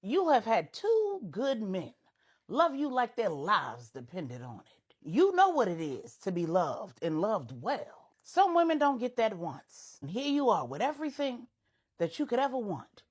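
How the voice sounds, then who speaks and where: A woman's voice: medium pitch, wildly swooping pitch, measured pace, breathy edge, voice pushed up, heavily acted. A woman voicing a blunt, scolding, motherly older friend with a folksy, plain-spoken manner in a told story. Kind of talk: audio storytelling dialogue.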